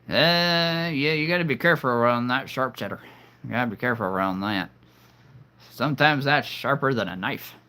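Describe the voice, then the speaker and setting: A man's voice: medium pitch, normal speed, pauses often, pushed and bright. Jokey man rambling on a live stream. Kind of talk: casual live-stream chat.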